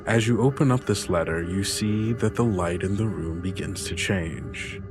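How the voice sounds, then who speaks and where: Low-voiced man narrating as game master. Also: moderate pace, over music. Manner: descriptive, dramatic storytelling. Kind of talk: narrating an actual-play tabletop story.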